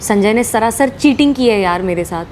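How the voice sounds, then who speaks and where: An actress playing a discontented, demanding young wife in a TV crime drama, complaining and slipping into English phrases. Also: female voice, mid-range voice, animated, normal speed, natural voice. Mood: sulky. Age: young adult. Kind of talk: Hindi TV crime serial acting. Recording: dramatic background music.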